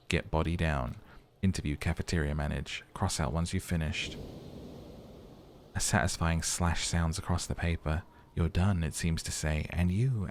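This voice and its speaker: A man reading game text aloud and chatting through a let's play, musing as he goes. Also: extremely low pitch. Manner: chatty, musing aloud between narrated passages. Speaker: gaming commentator.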